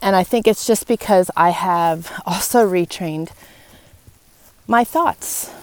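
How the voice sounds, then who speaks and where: A woman with a slightly low voice talks directly to her listeners as a podcast host. She is earnest and encouraging, setting out her thoughts in short, reflective phrases with pauses between them. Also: dry recording. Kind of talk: personal, faith-based motivational talk to listeners. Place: outdoors in wind.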